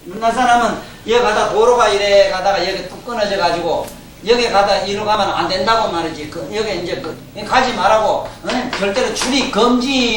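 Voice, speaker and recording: A man's voice: sits high, a bit bright. Folksy Gyeongsang-accented older man lecturing. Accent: Gyeongsang dialect. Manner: folksy, joking, asks the audience questions. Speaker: Buddhist lecturer. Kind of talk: dharma lecture. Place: lecture hall. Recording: roomy hall, distant mic, faint hiss.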